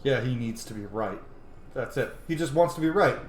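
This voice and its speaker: A man's voice: medium pitch, animated, normal speed. A man doing casual, sweary gameplay reaction commentary as a let's play host, often exclaiming. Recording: roomy echo, clear noise.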